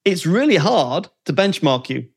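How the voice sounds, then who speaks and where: Man hosting with a fairly high voice. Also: very animated, measured pace, gravelly, breathy edge. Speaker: podcast host. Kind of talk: conversational interview.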